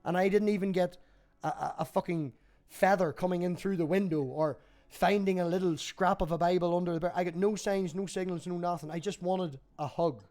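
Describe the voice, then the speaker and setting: A man's voice: high-pitched, fairly even intonation, fairly quick, darkish tone. A man hosting a casual debate chat, talking in a blunt, sweary way. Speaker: host.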